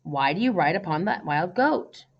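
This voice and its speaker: A woman voicing a calm, gracious young prince in a storybook, admiring and patient, explaining gently and in a measured way. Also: fairly low pitch, highs cut off. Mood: curious. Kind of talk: storybook character voice.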